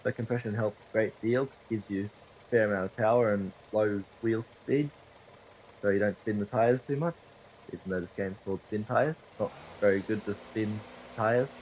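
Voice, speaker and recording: A low-pitched young man whose voice is hoarse and fraying from a cold. He rambles and swears through casual game commentary, mocking himself as he goes, into a desk mic with a faint hiss underneath. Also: normal speed, a little mellow, poor audio. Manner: profane, rambling, self-deprecating. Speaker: gaming video creator. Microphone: desktop microphone.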